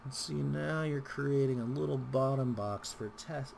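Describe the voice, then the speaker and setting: A man with a mid-pitched voice and a gravelly, creaky edge talks through a software tutorial. His delivery is informal and rambling, dotted with wry asides. There is some noise behind him on the recording. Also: unhurried. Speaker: tutorial host.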